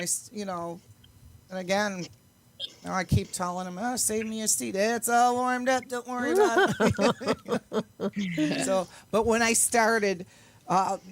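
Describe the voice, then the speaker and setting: An older woman chats casually in a middle-range voice. She pokes fun at herself and often laughs while talking. There is some noise behind her. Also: medium pitch. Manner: self-deprecating, jokey, laughs often as talking. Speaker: guest, singer and entertainer. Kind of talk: casual chat.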